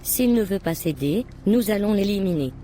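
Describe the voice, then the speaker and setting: A woman's voice: medium pitch, expressive, normal speed, warm tone, a little hiss or hum, close to an everyday voice. A woman voicing a cold, commanding occult grand master who threatens people in a cartoon. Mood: threatening. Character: menacing occult grand master plotting attacks.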